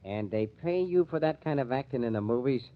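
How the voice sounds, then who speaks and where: A man's voice: mid-range voice, animated, brisk, close to an everyday voice. A man playing a bitter, scornful bar owner in a 1950s radio mystery, slangy and hard-boiled. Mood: bitter, sneering. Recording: muffled lo-fi old radio recording.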